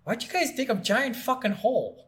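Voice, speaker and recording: Male podcast co-host, notably high voice, blunt casual game-review banter, swears freely. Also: lively intonation, a little fast, fairly bright tone.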